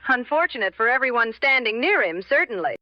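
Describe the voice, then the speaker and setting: A woman playing a sensible, deadpan co-host on a 1960s radio show with a dull vintage sound. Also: fairly high pitch, a little fast, no room sound. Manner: dry, deadpan.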